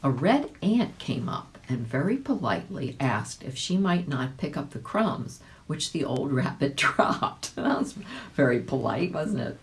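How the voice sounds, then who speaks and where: A woman with a low voice narrates a children's storybook. She reads in a chatty way, slipping in casual asides and small questions to the listener. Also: sing-song, a little slow. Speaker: volunteer storybook reader.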